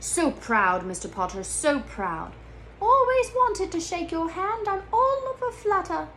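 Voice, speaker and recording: A woman's voice: very high-pitched, sing-song, unhurried, a bit bright, slight background noise, voice pushed up, exaggerated voice, low-quality recording. A woman voicing a starstruck female admirer, gushing breathlessly in a storybook reading. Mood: flustered, thrilled.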